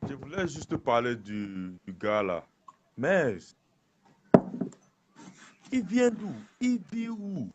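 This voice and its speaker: A man's voice: medium pitch, very animated, takes it slowly, long pauses. Man, caller, insistent, interrupts, faint background noise. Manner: insistent, interrupts, repeats himself. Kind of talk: call-in conversation.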